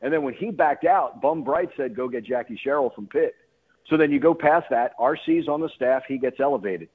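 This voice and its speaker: Husky, emphatic man on sports radio. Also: mid-range voice, fairly quick, narrow, tinny audio. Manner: emphatic, repeats and rephrases himself. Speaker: sports play-by-play announcer, radio guest. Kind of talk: sports talk radio interview.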